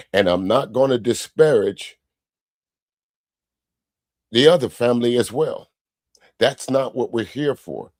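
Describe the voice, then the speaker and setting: A man's voice: medium pitch, a little mellow, dry recording, studio quality. A man hosting a livestream, giving casual commentary and replying to viewer comments in an argumentative way with rhetorical questions. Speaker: livestream host.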